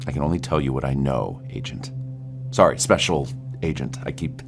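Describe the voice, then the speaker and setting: A smug realtor under police questioning in an audio drama. His voice sits extremely low, and he is evasive and mocking. Music plays clearly behind him. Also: expressive, moderate pace, heavily acted. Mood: smug, taunting. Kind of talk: audio fiction acting.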